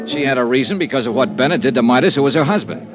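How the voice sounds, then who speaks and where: Casting: male actor as shrewd American insurance sleuth, clipped and persuasive, lively swooping pitch, old radio serial with music. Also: racing, natural voice. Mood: insistent, persuasive. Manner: clipped, persuasive, hard-boiled. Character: brisk, shrewd male freelance insurance investigator. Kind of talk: 1950s American radio detective acting, first-person case narration. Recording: vintage radio recording with music.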